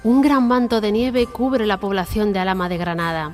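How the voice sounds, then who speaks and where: A woman's voice: mid-range voice, fairly even intonation, moderate pace. A woman from reading scripted storytelling narration as the narrator of a radio play. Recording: music under the voice.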